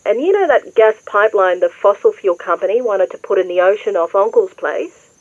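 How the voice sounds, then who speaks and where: An actress playing a patient, earnest adult Australian daughter in an audio drama, explaining things clearly. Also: female voice, medium pitch, lively intonation, fairly quick, a little mellow, no echo, muffled recording, lightly acted. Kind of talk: naturalistic audio drama dialogue.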